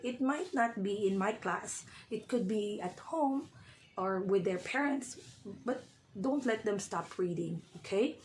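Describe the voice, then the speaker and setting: Hoarse woman tutor, chatty. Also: medium pitch, a little mellow, slight background noise, low-quality recording. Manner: chatty, often checks in with "okay?". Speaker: reading tutor. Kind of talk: casual vlog talk to viewers.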